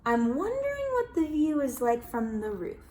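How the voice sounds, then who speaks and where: A woman's voice: sits high, wildly swooping pitch, normal speed, darkish tone, voice pushed up. A woman voicing a young girl who pleads earnestly in a letter, read in a children's storybook. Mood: hopeful. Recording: roomy echo.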